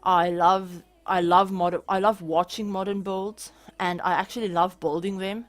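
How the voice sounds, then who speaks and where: A woman's voice: fairly low pitch, level tone, moderate pace. Chatty South African young woman. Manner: chatty, with restarts and small laughs. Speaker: gaming YouTuber. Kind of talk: casual Q&A over a speed build.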